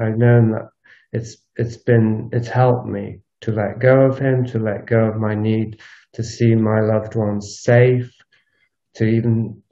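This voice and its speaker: A man recording a solo spoken audio log on a walk, musing in a halting, unscripted way and circling back over his phrases. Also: mid-range voice, creaky, dark tone. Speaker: podcast host. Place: outdoors, recorded while walking. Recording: dull lo-fi outdoor recording.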